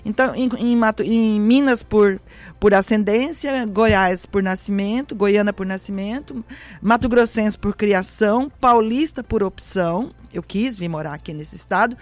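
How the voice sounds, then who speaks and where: A Brazilian woman in her sixties, a veteran teacher, speaking warmly and with conviction as a radio interview guest. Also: mid-range voice, a little slow, dry recording. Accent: Brazilian Portuguese. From central-west Brazil. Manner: warm, emphatic, speaks with conviction. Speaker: retired teacher and former councilwoman.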